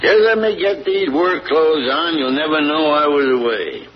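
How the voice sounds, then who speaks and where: An actor playing a weary rural farmer father just out of prison, in a slow folksy drawl, in 1940s radio acting style. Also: on the high side, animated, close to an everyday voice. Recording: noisy lo-fi old radio recording.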